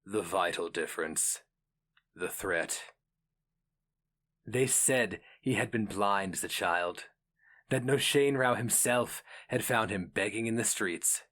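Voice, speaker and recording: A man giving a solemn, theatrical and deliberate dramatic reading of a fantasy story. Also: on the low side, a bit bright. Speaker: narrator.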